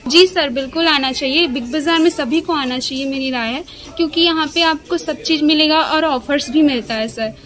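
Young woman, shopper, polite enthusiastic answers, very high voice, handheld mic in a store. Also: normal speed, a bit bright. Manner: polite, enthusiastic, keeps saying sir. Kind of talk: vox pop interview. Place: inside a large retail store. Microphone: handheld reporter mic.

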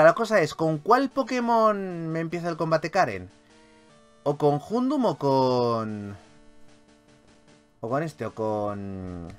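A man with a Castilian Spanish accent giving casual, animated gameplay commentary as a video host, swearing freely. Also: a little high. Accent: Spanish from Spain.

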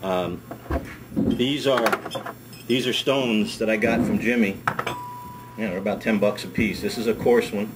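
A middle-aged man, a ski school director, demonstrating a hands-on task in a wood-paneled workshop, talking in a relaxed, chatty way and repeating words as he works. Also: lowish voice, unhurried, pauses often. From United States. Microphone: camera mic on a workbench. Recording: camera audio with steady background noise.

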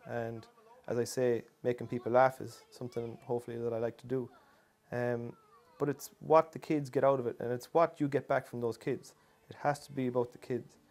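A man's voice: medium pitch, level tone, a little fast, darkish tone. An Irish man in his thirties, a stage comedian, explaining his craft in an interview. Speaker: pantomime comedian. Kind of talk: interview talk. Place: living room, seated in armchair.

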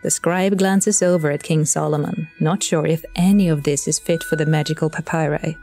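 Woman, storytelling narration, lowish pitch, soft mellow timbre, light music underneath. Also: fairly even intonation, moderate pace.

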